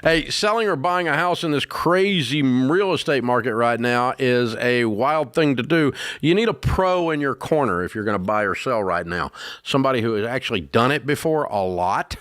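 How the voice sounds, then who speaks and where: A man's voice: mid-range voice, expressive, moderate pace, fairly bright tone, no room sound, clean studio sound. Folksy, emphatic man hosting a radio show. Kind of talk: call-in advice show. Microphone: studio microphone.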